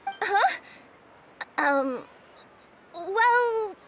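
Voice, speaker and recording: A woman's voice: extremely high pitch, animated, very slow, fairly bright tone, a little hiss or hum, heavily acted. A woman playing a young cat spirit courier in an anime-style video game, kindly, earnest and a little hesitant. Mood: sheepish, reluctant.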